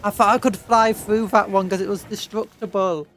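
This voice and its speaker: A man's voice: high-pitched, steady tone, normal speed, fairly bright tone, faint music behind. A British man streaming a video game with casual, playful commentary, full of childlike exclamations and sing-song filler noises. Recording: noisy, game vehicle sounds behind. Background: video game vehicle noise.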